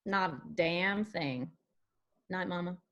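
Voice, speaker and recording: A woman's voice: on the low side, slow, dull audio. A woman acting a defiant, caring daughter with a blunt, wry manner in a screenplay reading. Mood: wry, bossy.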